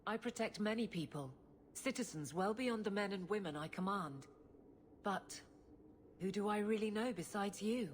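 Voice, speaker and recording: A woman's voice: mid-range voice, normal speed, slight background noise, close to an everyday voice. A woman playing a brusque, guarded captain of the city guard in a video game, with formal, clipped speech. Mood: stern, reluctant. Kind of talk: video game dialogue acting.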